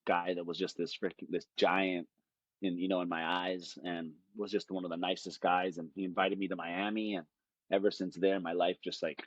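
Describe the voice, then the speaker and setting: A man's voice: low voice, flat delivery, moderate pace, no echo, lo-fi sound. A man, telling stories in a rambling, easygoing way as a podcast guest. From Hawaii. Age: late 20s. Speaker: guest, jiu-jitsu fighter. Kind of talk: casual podcast conversation.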